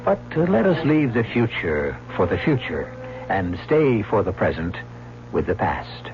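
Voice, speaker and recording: Dull old radio sound with music clearly underneath carries a grave male storyteller of doom. His delivery is measured and portentous, swinging widely in pitch for dramatic weight. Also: big pitch swings. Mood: grave storytelling. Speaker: host and narrator.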